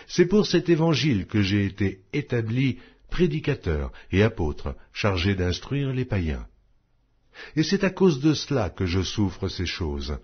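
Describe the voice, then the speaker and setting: A man reads scripture solemnly in a fairly low, husky voice. His phrasing is measured and declamatory, rising and falling with very lively intonation. The recording is slightly dull and muffled. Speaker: Bible audiobook narrator.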